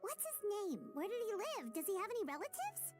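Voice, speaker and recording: A woman voicing a tiny, excitable female fairy companion who is chirpy and nosy, with game music behind. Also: very high-pitched, brisk. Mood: chirpy curious. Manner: chirpy, nosy, refers to herself by name. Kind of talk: game cutscene voice acting.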